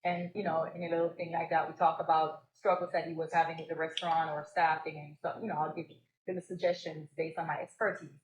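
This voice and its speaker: A woman's voice: sits low, flat delivery, fast talker, echoey room, background noise. A young woman from Jamaica, a business co-owner and guest on a live podcast, telling her story and laughing often. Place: stage at a live event.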